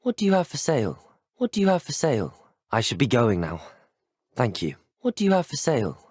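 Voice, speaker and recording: A man's voice: medium pitch, wildly swooping pitch, fairly quick, a little mellow, husky, no echo, clean studio sound. A man voicing a polite, curious young student wizard in a video game, well-spoken and earnest. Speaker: player character in a video game.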